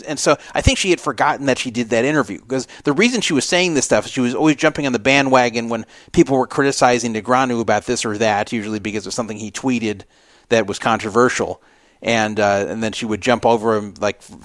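A man with an average-pitched voice talks like an opinionated radio host. He circles back over his points in a rambling, emphatic way, stressing them by repetition. The sound is dry, with little room around it. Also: fairly bright tone, no echo. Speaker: podcast host. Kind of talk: casual opinionated radio talk commentary.

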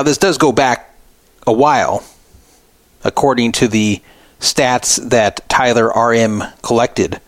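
A man's voice: medium pitch, no room sound. A man hosting a talk podcast, giving opinionated, explanatory commentary off the cuff. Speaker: podcast radio host. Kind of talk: unscripted poker news commentary.

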